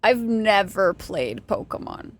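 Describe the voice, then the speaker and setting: A young woman from Texas streaming a game, chatting casually with her viewers, self-deprecating and quick to laugh. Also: medium pitch, lively intonation. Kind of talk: casual chat with stream chat while gaming.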